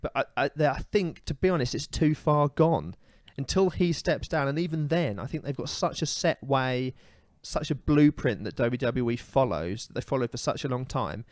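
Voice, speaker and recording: A man in casual podcast chat, holding forth with firm opinions and plenty of "um" and "you know". His voice sits at an ordinary middle pitch. It is recorded close and dry, with no room sound. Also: normal speed, hardly any pauses, darkish tone, no echo. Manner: opinionated, ranting, many fillers. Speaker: podcast co-host.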